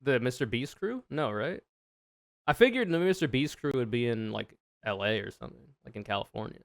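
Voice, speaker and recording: A man streamer with a deep, smooth voice talks over a clip in loose, thinking-aloud commentary. He is frank and swears freely. The sound is close and dry, at an ordinary middle pitch. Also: lively intonation, a little fast, no room sound. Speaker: streamer reacting to a video. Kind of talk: casual reaction commentary.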